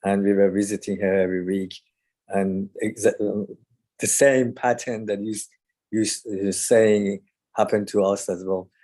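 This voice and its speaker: A man from Iran with a low, dark and relaxed voice. He is commenting in an online group discussion and talks haltingly, often searching for the next word.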